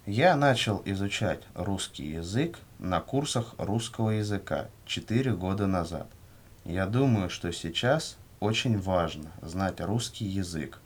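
A man reading scripted language-course answers in a measured, carefully enunciated way. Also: low voice, expressive, slightly rough. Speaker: language lesson reader.

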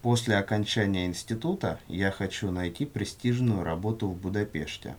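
A man reading scripted language-course answers in a measured, carefully enunciated way. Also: on the low side. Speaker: language lesson reader.

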